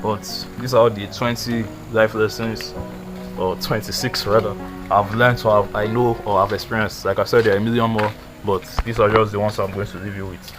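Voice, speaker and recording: A twenty-year-old man giving casual life advice in a rambling, slangy way, full of "like" and "you know". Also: lowish voice. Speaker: vlogger.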